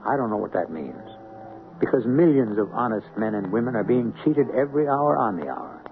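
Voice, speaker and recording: A man hosting a suspense radio drama, narrating in a measured, ominous, philosophical manner. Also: mid-range voice, animated, noisy background. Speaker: host and narrator. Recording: narrow old radio broadcast with music.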